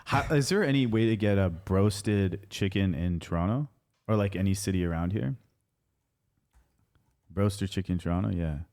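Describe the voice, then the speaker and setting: Low-voiced man hosting a casual podcast. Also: normal speed, pauses often, a little mellow. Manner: rambling, filler-heavy, enthusiastic. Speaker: podcast host. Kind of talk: casual chat.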